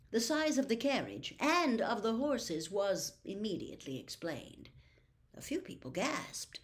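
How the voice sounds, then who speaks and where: Female storyteller, 60s, very unhurried, dramatic phrasing, mid-pitched voice for narrating fiction. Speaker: storyteller reading aloud. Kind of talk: expressive audiobook-style reading of a novel. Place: small room at home with bookshelves.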